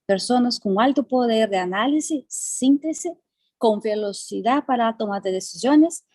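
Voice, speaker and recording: A woman's voice: on the high side, expressive, normal speed, darkish tone, no room sound, studio quality. A woman from Brazil with a Brazilian Portuguese accent, speaking as a panelist and often repeating words while she searches for them. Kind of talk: panel discussion.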